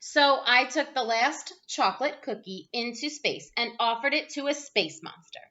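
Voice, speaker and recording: Female storyteller for young children, high bright voice, dramatic delivery, slightly dull lo-fi recording. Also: expressive, normal speed. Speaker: teacher reading a picture book aloud. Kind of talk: animated children's read-aloud.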